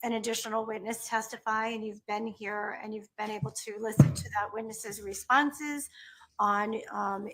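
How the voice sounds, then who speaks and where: A woman presiding as judge in a courtroom, speaking in a measured, procedural and courteous way. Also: medium pitch, steady tone, low-quality recording.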